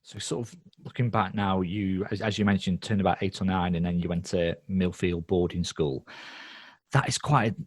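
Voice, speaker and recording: A man's voice: low voice, fairly even intonation, normal speed, husky, dry recording, clean studio sound. A man hosting a podcast, asking interview questions in a hesitant way with lots of fillers. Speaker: podcast host.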